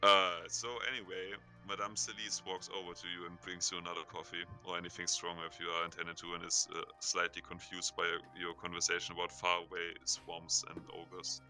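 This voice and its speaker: Low-voiced joking dungeon master. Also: male voice, completely flat delivery, fairly quick, hardly any pauses, bright, forceful tone, soft background music. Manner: joking, self-interrupting. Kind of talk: narrating a tabletop game.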